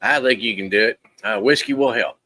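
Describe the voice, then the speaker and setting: A man's voice: medium pitch, fairly quick, sharp tone, no room sound. A man co-hosting a livestream in easygoing casual chat, with brief interjections and greetings. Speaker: livestream co-host.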